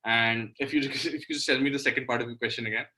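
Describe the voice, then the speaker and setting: Interview guest: young adult male, Indian accent, crisp bright tone, earnest and explanatory delivery. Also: medium pitch, fairly even intonation, quick pace. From Delhi, India. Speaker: interview guest, indie singer-songwriter. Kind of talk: casual conversational interview answers.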